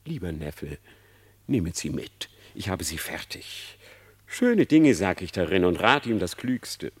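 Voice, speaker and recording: A man playing a sly, flattering fox in a radio play, with a theatrical, wheedling, unctuous delivery. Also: low-pitched, unhurried, husky, heavily acted. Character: sly, flattering male fox, scheming husband and uncle. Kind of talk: German radio play verse drama.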